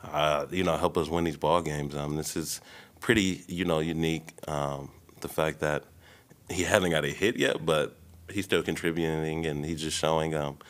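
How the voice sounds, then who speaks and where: A young man, a baseball player, answering questions at a post-game press conference into a desk mic, relaxed, with many ums and you-knows. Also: very deep voice, moderate pace. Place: press room before a logo backdrop. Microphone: desk mic on a stand.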